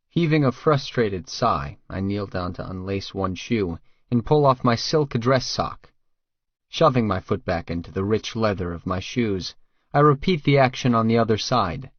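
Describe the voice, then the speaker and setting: A man's voice: fairly low pitch, lively intonation, moderate pace, dry recording, highs cut off. A man narrating first-person fiction as a tough, crude, brooding hitman, terse and guarded. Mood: brooding, smitten. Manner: terse, hard-boiled, profane. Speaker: audiobook narrator.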